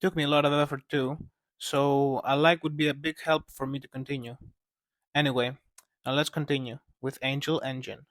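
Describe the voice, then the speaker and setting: A man's voice: mid-range voice, little pitch movement, normal speed, fairly bright tone, dry recording. A man narrating scripted analysis as a video essayist, with casual asides.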